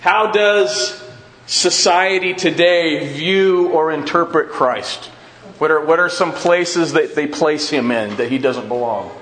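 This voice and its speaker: A man's voice: on the high side, slow, fairly bright tone. A man teaching an informal church Bible class, repeating and rephrasing his questions for emphasis as he addresses the group. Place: large echoey indoor room with a class present. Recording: very echoey room with faint noise.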